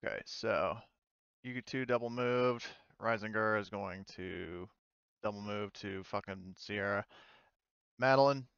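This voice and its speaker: A man acts as game master for a tabletop roleplaying session. His voice is clear and mid-pitched, recorded dry and a little muffled. He talks casually, feeling his way through rulings and swearing freely. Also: a little slow, a bit bright, no echo.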